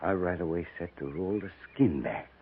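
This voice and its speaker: An actor playing a coarse, boastful buffalo skinner in a 1950s radio western, talking in a rough, folksy, rambling frontier manner. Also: male voice, low voice, animated, normal speed, phone-quality sound, slight background noise. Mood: gleeful boasting. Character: boastful, earthy old-West buffalo skinner, coarse and relishing. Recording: thin, band-limited 1950s radio recording.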